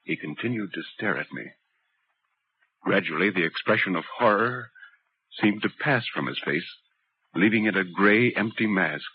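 An actor playing a curious, uneasy newspaper reporter telling a ghost story in 1940s radio drama style. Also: male voice, sits low, lively intonation, normal speed, long pauses. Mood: grave, ominous. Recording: dull, noisy 1940s radio recording.

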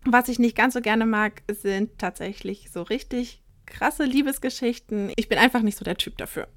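A woman's voice: on the high side, moderate pace, fairly bright tone, dry recording. Woman, thirty-one, from Saxony-Anhalt, podcast co-host, friendly, casual, dry recording. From Saxony-Anhalt, Germany.

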